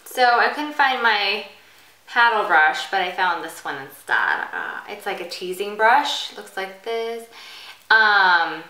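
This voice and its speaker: A woman's voice: medium pitch, takes it slowly, recorded from a distance. A woman giving a casual, chatty step-by-step hairstyling tutorial as she demonstrates. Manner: chatty, informal. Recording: bright roomy echo, off the mic.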